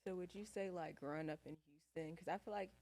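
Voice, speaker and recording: A woman's voice: on the low side, fast, a little mellow. A woman co-hosting a podcast, chatting in a colloquial, conversational way. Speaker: podcast co-host. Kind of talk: casual chat.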